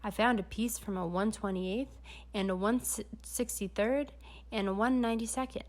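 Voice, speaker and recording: A woman's voice: medium pitch, fairly even intonation, normal speed. A woman narrating a children's story aloud, with dramatic storytelling emphasis. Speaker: storybook reader.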